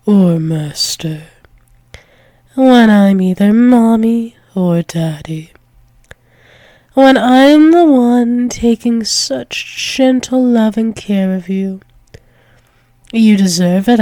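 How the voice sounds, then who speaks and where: A woman's voice: medium pitch, very slow, mellow, no room sound, clean studio sound. A woman voicing a doting, dominant dragon-wolf demoness lover in an intimate ASMR roleplay, teasing with pet names and dropping into whispers. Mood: teasing, tender.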